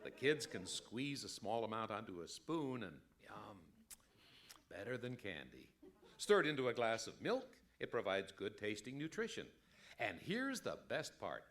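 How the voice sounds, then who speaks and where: A man as hugely animated radio pitchman. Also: unhurried, exaggerated voice. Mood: enthusiastic. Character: smooth-selling, enthusiastic male radio pitchman. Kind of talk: mock old time radio commercial.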